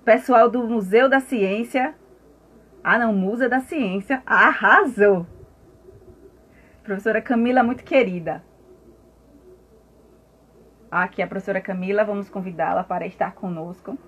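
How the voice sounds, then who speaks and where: A woman's voice: medium pitch, a little slow, long pauses, husky. A woman with a Brazilian accent hosting an informal academic live stream, chatting directly to viewers and at times reading aloud.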